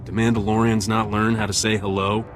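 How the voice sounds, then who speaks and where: A roguish male smuggler captain from a video game, voiced by a man. His voice sits fairly low and he talks with dry, sardonic cool. Music plays underneath. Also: level tone, brisk, lightly acted. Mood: wry.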